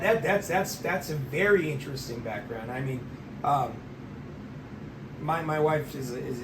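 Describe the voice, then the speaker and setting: A man hosting an interview, talking in a halting way and often repeating words as he stumbles through his questions. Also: a little high. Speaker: interview host. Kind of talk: casual interview. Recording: echoey room with background noise.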